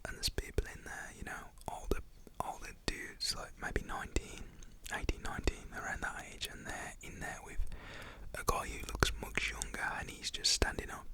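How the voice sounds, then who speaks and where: Whispering British storyteller, casual and slangy. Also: moderate pace. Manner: informal, slangy, acts out quoted shouts in a whisper.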